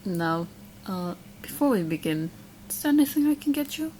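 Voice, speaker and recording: A woman's voice: medium pitch, sing-song, moderate pace, warm tone, slight background noise, natural voice, rough recording. A woman playing an obsessive, smug young witch in love, chatting coyly to the listener in a roleplay audio drama. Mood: sweetly confident. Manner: coy, smug, chatty, hesitant asides.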